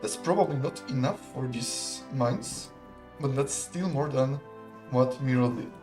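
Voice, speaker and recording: A young man, commentating a live strategy game in a relaxed, chatty, analytical way and laughing often. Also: mid-range voice, measured pace, music in the background. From Poland. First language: not English. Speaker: esports caster.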